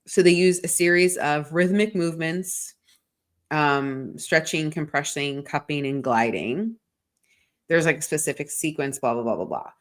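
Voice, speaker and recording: Low-voiced, swearing woman chatting casually. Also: a little slow. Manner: rambling and frank, swears often, heavy "like" filler. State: congested from a sinus infection. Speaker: podcast co-host. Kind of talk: casual chat.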